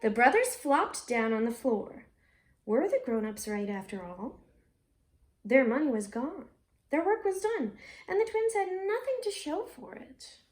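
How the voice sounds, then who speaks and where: An American woman with a high-pitched voice hosts a read-aloud for children. She reads in an expressive storybook style and slips into chatty asides, speaking directly to her young audience. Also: unhurried.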